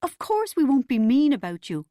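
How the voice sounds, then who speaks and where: A woman voicing an anxious, put-upon middle-aged mother in an audiobook, with a shaky, falsely bright, exclamatory manner. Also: sits high, big pitch swings, normal speed, dry recording, clean studio sound, pitched above the performer's own voice. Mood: anxious, pleading. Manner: shaky, fake-upbeat, exclamatory. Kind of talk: audiobook character dialogue.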